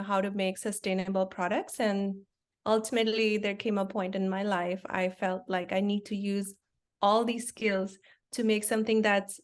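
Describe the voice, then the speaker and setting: A woman in her forties from India, a company founder, explaining her work in a relaxed interview with lots of fillers and restarts. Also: medium pitch. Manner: explains things simply with many fillers and restarts. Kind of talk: conversational interview.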